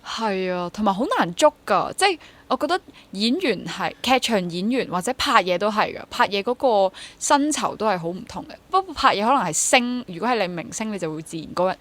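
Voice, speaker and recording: A young woman talks in Hong Kong Cantonese with a mid-range pitch. She sounds like a guest in a casual interview, dropping English words into her sentences. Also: fairly bright tone. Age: young adult. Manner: mixes English words into speech. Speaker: interview guest, stage actor.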